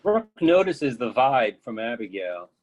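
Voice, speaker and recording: Medium-pitched man reading stage directions. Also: big pitch swings, a little slow, darkish tone, lo-fi sound. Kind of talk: scripted narration.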